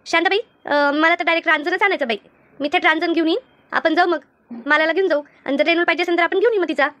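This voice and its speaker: A woman voicing a lively, teasing village friend in an animated comedy, with a rural Maharashtrian accent. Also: very high-pitched, racing, dry recording. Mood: agreeable. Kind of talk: animated cartoon comedy dialogue.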